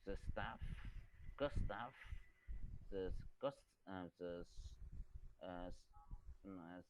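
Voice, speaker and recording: A man's voice: deep voice, slow pace, noisy background. A man with a Vietnamese accent, a student reading aloud and answering hesitantly in an English lesson, restarting words.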